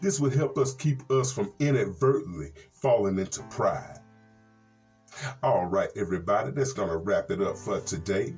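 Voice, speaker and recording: An American man, a reverend hosting a Bible program, reads scripture and commentary in a measured, earnest way with folksy asides. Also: lowish voice, expressive, darkish tone. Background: plucked guitar music.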